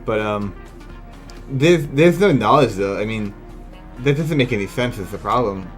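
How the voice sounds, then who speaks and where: A man's lively voice jumps around in pitch as he talks through gameplay. He sounds like a streamer in casual conversation with his chat, openly incredulous and loose with swearing. Soft music plays behind him. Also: measured pace. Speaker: video game streamer and speedrun analyst. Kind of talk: casual live gaming commentary.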